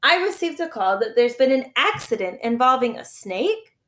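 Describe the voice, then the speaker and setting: A woman voicing a brisk, formal, self-important male doctor from a storybook. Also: high voice, sing-song, fairly bright tone, pitched above the performer's own voice. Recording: echoey room.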